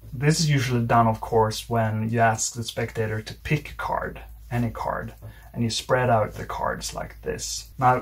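A man with a slightly husky voice, giving a measured, step-by-step tutorial as an instructor demonstrating while he talks. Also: medium pitch. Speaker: card magic instructor.

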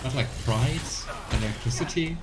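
A man's voice: mid-range voice, moderate pace. A man in his thirties giving casual game commentary as a streamer, musing aloud to himself. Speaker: let's play streamer. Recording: game ambience noise behind voice. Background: video game ambience.

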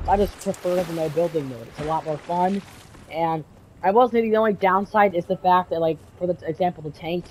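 Teen male gaming vlogger, congested from a cold, loose rambling delivery full of restarts, faint noise behind. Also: a little high. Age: teenager. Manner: rambling, repeats words, many fillers and restarts. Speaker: gaming YouTuber. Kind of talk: casual vlog commentary over gameplay.

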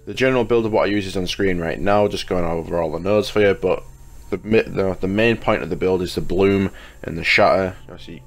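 A man giving a casual, chatty video game guide as a YouTube host, sounding slightly congested with a cold. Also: low voice. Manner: informal, chatty, addresses viewers as guys. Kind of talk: casual video game guide commentary over gameplay menus. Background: music.

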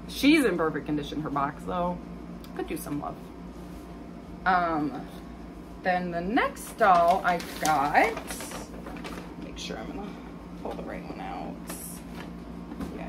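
A woman's voice: low voice, very animated, takes it slowly, long pauses, noisy background. A young adult woman vlogger chatting casually about her doll finds, with frequent ums and likes. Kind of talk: casual haul vlog chat.

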